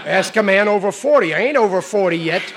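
An actor playing a touchy, slangy working-class husband of about forty, grumbling about aging in an old radio sitcom. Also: male voice, high-pitched, animated, fast talker, very noisy. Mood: irritable, blustering. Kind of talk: 1940s-50s radio sitcom acting. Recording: vintage radio show recording.

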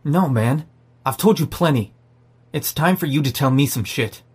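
A man's voice: a little high, expressive, moderate pace, husky. A man playing a sarcastic, defiant, foul-mouthed zombie apocalypse survivor in an audio drama. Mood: irritated. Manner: sardonic, profane. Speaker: narrator and lead character. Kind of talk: first-person audio drama narration and dialogue.

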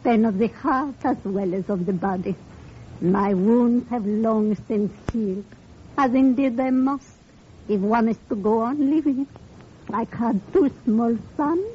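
Woman acting a gentle gracious blind widow in an old radio show, takes her time, muffled hissy sound. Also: fairly high pitch, unhurried, natural voice. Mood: resigned, philosophical. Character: gracious, serene blind widow running a restaurant. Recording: dull, noisy old radio recording.

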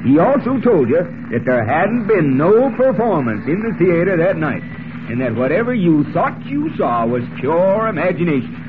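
An actor playing a grumbling, folksy Western sidekick with a rural American accent in a 1940s radio serial. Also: male voice, a little high, big pitch swings, normal speed. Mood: skeptical, curious. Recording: very noisy, phone-like old radio recording.

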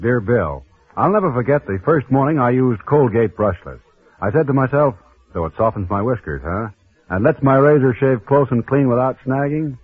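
A man's voice: medium pitch, expressive, moderate pace, husky, dry recording. A man reading a folksy testimonial letter in a 1940s radio advertisement. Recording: dry, tinny old radio broadcast.

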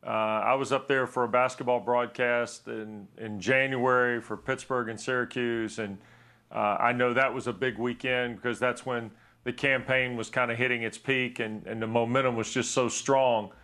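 Man, sports co-host, mid pitch, halting then steady. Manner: halting starts, repeats words. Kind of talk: interview question.

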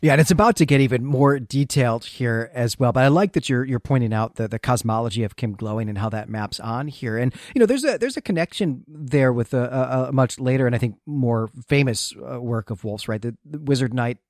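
A man with a medium-pitched voice co-hosts a literary podcast. He recaps and discusses fiction in a chatty, enthusiastic style. The sound is dry, with very little room in it. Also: a little fast, few pauses, darkish tone, no room sound. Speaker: podcast co-host.

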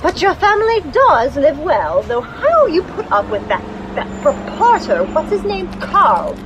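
An actress playing a sharp-tongued, snobbish young socialite with a catty, bossy manner in a horror radio play. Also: female voice, extremely high pitch, huge pitch swings, unhurried, background noise, full character voice. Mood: impatient.

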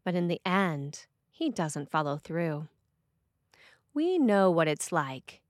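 A woman priest reading a scripted sermon in a measured, expressive way. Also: lowish voice, no echo, studio quality.

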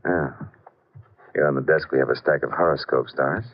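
A man's voice: extremely low pitch, fairly quick. An actor playing a wisecracking American detective's legman in a 1950s radio drama, with a breezy, slangy, wry manner. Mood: brisk, investigating. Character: wisecracking private detective's legman, brisk, self-mocking. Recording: muffled, band-limited old radio recording.

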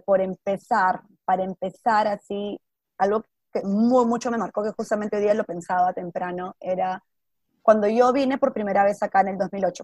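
A woman's voice: mid-range voice, a little mellow, no echo. A woman from Peru with a Peruvian accent, chatting casually and telling personal stories, slipping in English words and acting out what people said. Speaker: interview guest.

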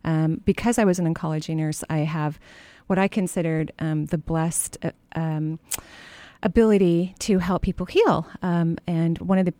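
A middle-aged woman hosts a call-in radio show with a chatty, laughing, storytelling manner. Her voice sits fairly low, and the studio sound is dry and close. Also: steady tone, normal speed, dark tone, no room sound. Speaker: radio show host and energy healer. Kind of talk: call-in radio advice chat.

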